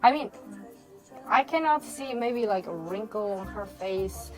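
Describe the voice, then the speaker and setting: A woman from Mexico talking in a casual chat, animated and quick to gush. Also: medium pitch, soft background music, low-quality recording. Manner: animated, laughing, gushing. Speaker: guest.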